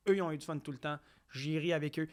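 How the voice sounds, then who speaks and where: A young man with a Quebec French accent, a comedian telling stories on a podcast. He acts out quotes and talks in quick bursts with restarts. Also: on the high side, fairly even intonation. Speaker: comedian guest. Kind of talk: casual podcast interview storytelling.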